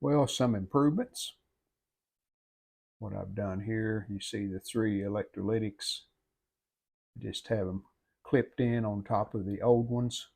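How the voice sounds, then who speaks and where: A man's voice: fairly low pitch, takes it slowly, gravelly, pauses often, darkish tone. A middle-aged man giving unscripted how-to commentary at a workbench in a plain, folksy, matter-of-fact way. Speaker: hobbyist repair vlogger. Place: indoor home workshop at a workbench.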